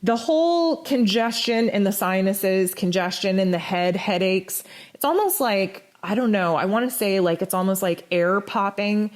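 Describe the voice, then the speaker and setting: A woman with a hoarse, strained voice giving a blunt, animated spiritual forecast as host of her own channel. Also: moderate pace. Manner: blunt, animated, pitch jumps high for emphasis. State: hoarse, nearly lost voice. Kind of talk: casual spoken forecast.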